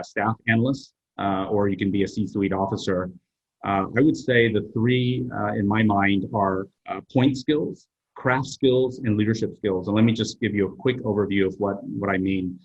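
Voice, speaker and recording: A middle-aged man whose first language is Vietnamese, answering interview questions in a measured way with frequent pauses. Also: lowish voice, highs cut off. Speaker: HR executive guest.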